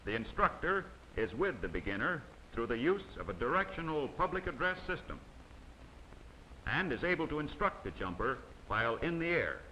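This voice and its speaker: Man for a formal instructional film voice-over, bright, sharp tone, faint hiss underneath. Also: fairly high pitch, low-quality recording. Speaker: narrator of a training film. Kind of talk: scripted documentary narration. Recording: faint hiss of old 16mm optical soundtrack.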